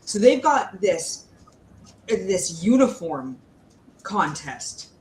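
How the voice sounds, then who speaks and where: A woman's voice: mid-range voice, very animated, drawn-out words, a little mellow. A woman co-hosting a casual book discussion, speaking in slangy, incredulous bursts with stop-and-start emphasis. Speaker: co-host of a book readalong live show. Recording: roomy echo, faint background noise.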